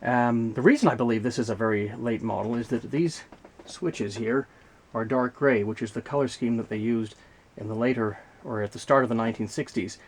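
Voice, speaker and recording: A man giving an unscripted, hands-on technical demonstration as a video host, explaining things in a measured, methodical way. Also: medium pitch. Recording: clear background noise, mechanical clicks.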